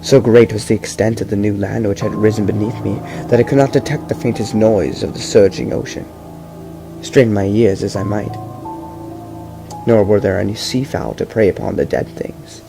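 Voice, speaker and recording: A young man reading a horror story aloud in a slow, measured first-person voice, stumbling now and then over long words. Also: on the low side, vocal fry. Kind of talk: amateur audiobook reading. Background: loud background music.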